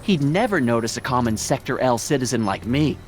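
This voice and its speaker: A man's voice: medium pitch, lively intonation, fairly quick, faint music behind, close to an everyday voice. An actor playing a meek, middle-aged American small-town father in a video game, earnest, folksy and prim. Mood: deferential, wistful. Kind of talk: animated video game dialogue acting.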